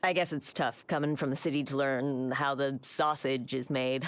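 A woman's voice: very deep voice, fairly even intonation, normal speed, dry recording. A woman playing a guarded, matter-of-fact butcher in a video game, reserved and businesslike.

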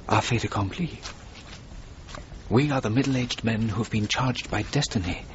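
Radio drama: male actor as witty, idealistic architect, middle-aged, urbane, formal; muffled, noisy sound. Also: natural voice. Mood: sombre, searching. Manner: eloquent, urbane, formal phrasing. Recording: muffled radio recording with rumbling noise.

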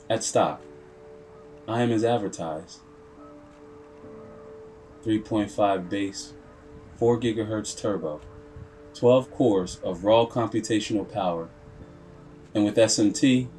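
A low-pitched male narrator delivers scripted storytelling in the role of a proud, grave machine. He speaks solemnly and deliberately, leaving dramatic pauses. Music plays clearly behind the voice-over. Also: warm tone. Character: computer processor telling its own story as a grave, proud character. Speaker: video narrator.

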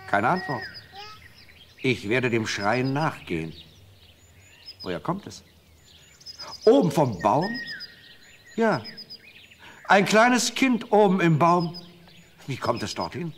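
A gentle, easygoing forester in a fairy-tale radio play. His fairly high voice muses slowly and rises and falls with wonder, with faint birdsong in the background. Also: a little hiss or hum, full character voice. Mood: puzzled, wondering. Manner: musing aloud, gentle, wondering. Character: kindly, easygoing forester and father. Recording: birdsong and forest sounds behind.

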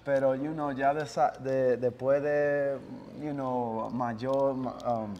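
A young man chats with easy, laid-back energy, slipping English phrases into his Spanish as he talks about himself. His voice sits at an ordinary middle pitch. The small office adds a light trail of reverb and a faint hum behind him. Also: steady tone, slow, warm tone, highs cut off. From United States. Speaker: guest musician. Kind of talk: casual interview chat. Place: small office with couches, indoors.

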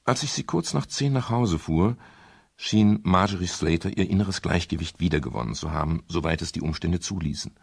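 Wry private detective for radio crime drama: very deep, creaky, laconic, chivalrous but weary. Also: male voice, animated, brisk, no room sound, studio quality, exaggerated voice. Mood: reflective narration. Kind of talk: 1970s German radio crime drama acting and narration.

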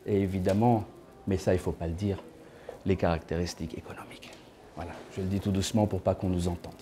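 A man's voice: sits low, steady tone, quick pace, background noise. A man in his thirties, seated in an indoor room and filmed from a distance, giving a talk as a guest speaker. He speaks conversationally and tosses joking asides to the audience. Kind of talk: lecture to a small audience.

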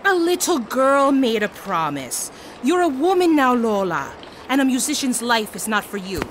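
Strict mom in an audio drama, blunt, highish voice, noisy background. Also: female voice, sing-song, moderate pace. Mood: stern, scornful. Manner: firm, blunt, insistent. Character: stern, controlling mother of a grown daughter.

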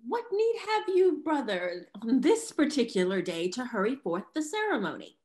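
Woman playing a high, flustered, scolding lady. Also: very animated, normal speed, darkish tone. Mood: remonstrating. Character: flustered, scolding lady, sister of an old gentleman. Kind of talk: period stage comedy read aloud.

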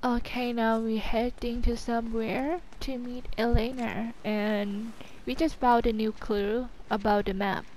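A woman's voice: fairly high pitch, flat delivery, a little slow, few pauses, a little mellow, a little hiss or hum, low-quality recording. A woman hosting a gaming video, casually commenting on her play in short remarks to herself. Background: game music and sounds.

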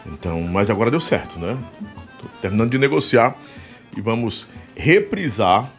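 A man from Piauí, hosting a podcast in animated, joking, rambling casual chat. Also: fairly low pitch, moderate pace, soft background music. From Piauí, Brazil. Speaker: podcast host.

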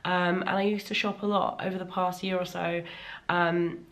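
A woman in her thirties chatting casually in a vlog from a bright living room, her voice still slightly worn after laryngitis, enthusiastic and full of ums. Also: lowish voice, little pitch movement, moderate pace. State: recovering from laryngitis, voice a little worn. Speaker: fashion vlogger. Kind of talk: casual sponsored haul vlog.

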